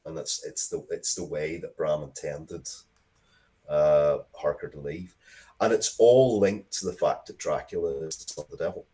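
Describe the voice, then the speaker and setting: Low-voiced man telling stories emphatically. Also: normal speed, dark tone. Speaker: guest, writer of Dracula media. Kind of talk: animated interview talk, storytelling.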